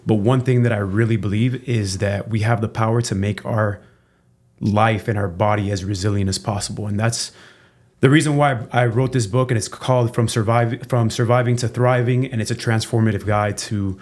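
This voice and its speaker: A man's voice: on the low side, moderate pace. A man from Cuba, a chiropractor, talking candidly as a guest on a casual podcast, often tagging his points with "right?" and cursing freely. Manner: relaxed and candid, tags statements with "right?", swears freely.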